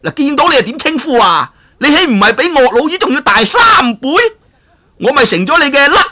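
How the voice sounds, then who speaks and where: A man voicing a brash, boastful male villain who shouts and squawks in a storytelling recording. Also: extremely high pitch, big pitch swings, brisk, very noisy, pitched above the performer's own voice. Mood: indignant.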